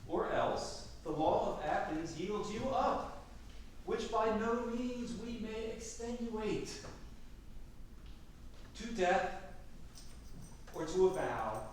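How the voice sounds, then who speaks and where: A man playing a stern, authoritative duke, speaking formal verse in a deliberate, declamatory way in a staged reading. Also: sits high, expressive. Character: stern, authoritative male duke pronouncing Athenian law. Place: echoey room at a theatre camp reading. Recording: very echoey room, distant mic, faint noise.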